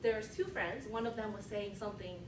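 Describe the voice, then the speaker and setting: A woman leading a team meeting, giving an animated motivational talk that keeps checking in with "right?". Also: mid-range voice, brisk. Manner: animated, often asks "right?". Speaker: team leader. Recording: echoey room from far off, noisy.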